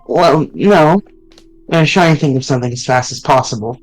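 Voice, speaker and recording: A man's voice: a little high, normal speed, soft background music, natural voice, poor audio. A man reading a blunt, eager male friend. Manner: casual, blunt delivery with mild swearing. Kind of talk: reading a visual novel aloud on stream.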